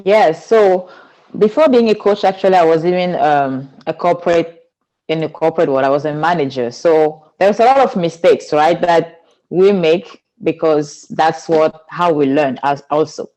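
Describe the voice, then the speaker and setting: A woman's voice: low-pitched, moderate pace, dark tone, poor audio. Woman from cameroon w/ accent, earnest guest, talks in long flowing runs, a little unusual phrasing. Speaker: guest, spiritual teacher. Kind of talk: podcast interview.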